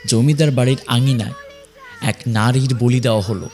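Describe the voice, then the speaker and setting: A man narrating a scripted horror story in a suspenseful, dramatic way with deliberate pauses. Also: mid-range voice, steady tone. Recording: music behind the voice.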